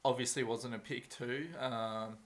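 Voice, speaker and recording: A man's voice: medium pitch, level tone, unhurried. A man co-hosting a sports podcast, easygoing and agreeable, with many fillers. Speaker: podcast co-host. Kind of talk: casual sports chat.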